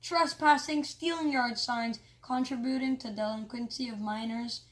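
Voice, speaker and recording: A reader playing a stern, loud security officer. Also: mid-range voice, fairly even intonation, moderate pace, natural voice. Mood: stern, commanding. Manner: loud, commanding. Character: stern, forceful male school security officer.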